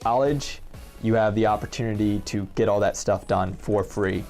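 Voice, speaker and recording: A man gives an explanatory interview answer in a somewhat low voice. He speaks deliberately in broken phrases over faint instrumental music. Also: fairly even intonation, a little mellow.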